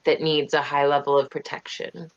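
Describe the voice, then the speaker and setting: A woman playing in an online tabletop game, pitching ideas with a questioning lilt. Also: little pitch movement, normal speed. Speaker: player. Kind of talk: casual tabletop game chat. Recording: dull online call audio.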